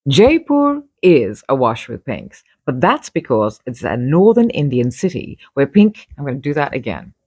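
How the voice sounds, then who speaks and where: A woman's voice: very deep voice, sing-song, normal speed, hardly any pauses, darkish tone, slightly rough, no echo. A woman reading her own magazine article aloud, emphatic and opinionated, breaking off for chatty asides.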